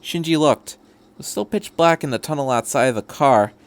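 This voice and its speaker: A man's voice: mid-range voice, animated, moderate pace. A man reading fan fiction aloud as a podcast host, in scripted narration. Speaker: podcast host and story reader.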